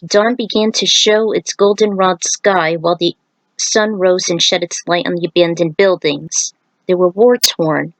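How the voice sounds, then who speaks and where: Unhurried woman reading a story. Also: fairly low pitch, dry recording, rough recording. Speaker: narrator reading own fan fiction. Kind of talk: story reading with casual asides.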